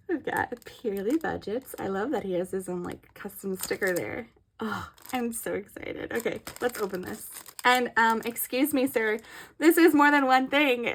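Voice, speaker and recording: A young woman chatting casually as a vlogger, giggly and gushing, her throat a little scratchy from allergies. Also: mid-range voice. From California. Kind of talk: casual chat.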